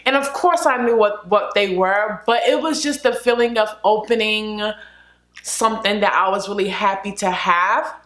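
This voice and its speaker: A woman's voice: mid-range voice, normal speed, fairly bright tone. A woman vlogger chatting casually to camera, a little sniffly from a cold, full of "like" and "literally". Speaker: beauty vlogger. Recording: echoey room.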